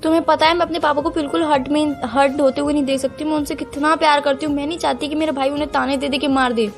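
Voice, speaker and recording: Actress as a high-voiced, insistent young girlfriend. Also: steady tone, quick pace, faint music behind. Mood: sad, resolute. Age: young adult. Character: young girlfriend breaking up, firm, pained, torn by family. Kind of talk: acted phone-call drama in conversational Hindi with some English.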